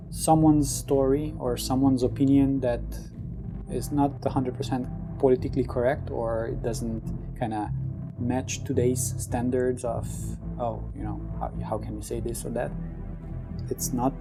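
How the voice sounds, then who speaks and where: A man's voice: mid-range voice, fairly even intonation, measured pace, over music, poor audio. A man from Romania hosting a vlog, talking casually and at length to viewers, emphatic and repeating his phrases, often checking in with a tag question. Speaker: vlog host. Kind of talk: casual, rambling monologue to viewers.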